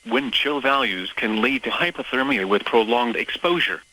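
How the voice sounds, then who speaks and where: A synthetic male voice reading scripted weather bulletins as a radio announcer, in a formal, measured, list-like delivery. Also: medium pitch, animated, bright, forceful tone, no room sound, low-quality recording. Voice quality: synthetic text-to-speech voice.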